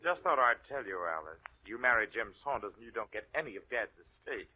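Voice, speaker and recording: An actor playing a smug, scheming adopted brother who taunts and insinuates, in 1940s radio drama style. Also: male voice, on the low side, animated, a little fast, very noisy, lightly acted. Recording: muffled narrow-band old radio recording.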